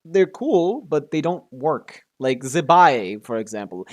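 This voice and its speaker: A man's voice: a little high, big pitch swings, measured pace. A man doing casual live game-stream commentary in a rambling, mildly jaded way, often restarting his thoughts.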